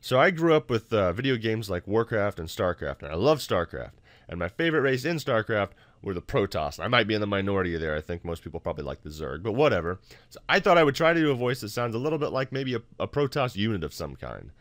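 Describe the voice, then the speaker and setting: Low-voiced man, dry casual tutorial. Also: very animated, quick pace, a bit bright, no room sound. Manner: informal, self-deprecating, explanatory. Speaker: tutorial host. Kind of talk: casual screen-recorded software tutorial. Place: home office room.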